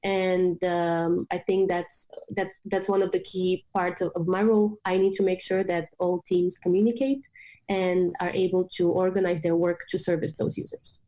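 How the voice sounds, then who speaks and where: A woman's voice: lowish voice, steady tone, normal speed, mellow, highs cut off. A woman from Romania in her late twenties, speaking as an interview guest with many hesitant fillers. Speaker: guest, startup product manager. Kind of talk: remote interview.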